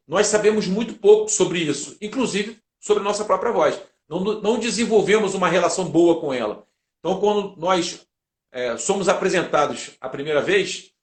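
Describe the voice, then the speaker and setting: A Brazilian man answering as an interview guest, explaining methodically like a teacher and building his points in lists. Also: a little high. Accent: Brazilian Portuguese. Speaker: guest, public speaking teacher and lawyer. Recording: roomy, echoing sound.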